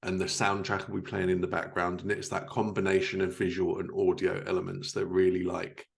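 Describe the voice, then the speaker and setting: A man hosts an interview in a casual, conversational style. His voice is very low, with plenty of gravelly fry in it. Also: monotone, fairly quick. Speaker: podcast host.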